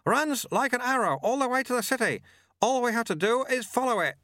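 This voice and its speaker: A brisk, practical sweeper, played by a male storyteller. He calls out in a very high, raised voice, as if over wind, in storybook style. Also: no echo, clean studio sound. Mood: brisk, loud. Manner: shouting over rushing wind.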